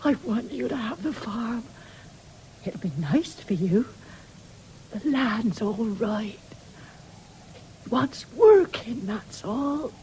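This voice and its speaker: An actress playing an ailing, stubborn farm wife with an English accent in a 1970s radio drama, weak and insistent. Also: female voice, a little high, animated, takes it slowly, pauses often, warm tone, poor audio. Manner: insistent, repeating demands. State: weak and failing. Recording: hissy old radio broadcast recording.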